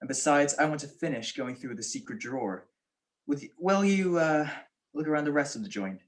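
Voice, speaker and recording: A woman's voice: deep voice, expressive, moderate pace. A young woman acting a suave, flippant male detective husband in a 1940s-style radio drama, with theatrical delivery. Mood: brisk, shrewd. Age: young adult.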